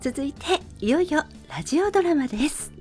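A middle-aged woman hosting a radio show, talking in a soft-spoken way as she introduces a song. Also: high-pitched, big pitch swings, a little fast, faint music behind.

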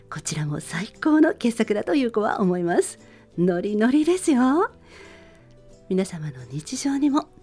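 A woman hosting a radio show, speaking politely and gently in a prepared solo narration. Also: medium pitch, husky.

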